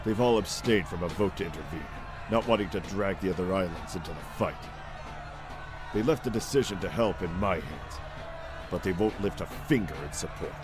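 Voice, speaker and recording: A man playing a combative, gruff security commander with a forceful manner in an audiobook. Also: low voice, moderate pace, a crowd in the background, close to an everyday voice. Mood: grim, resolute. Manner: forceful, barking orders. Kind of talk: audiobook character acting. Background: prominent music with outdoor ambience.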